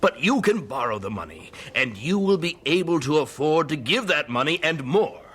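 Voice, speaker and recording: An actor playing an earnest, genial 19th-century American evangelist in an audio drama, with a folksy, persuasive way of talking. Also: male voice, a little high, normal speed, husky, exaggerated voice. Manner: animated, folksy storytelling.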